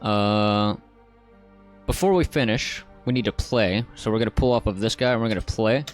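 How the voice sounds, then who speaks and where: A man narrating a software tutorial in a casual, informal, step-by-step way. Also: lowish voice, moderate pace, soft background music. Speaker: tutorial host.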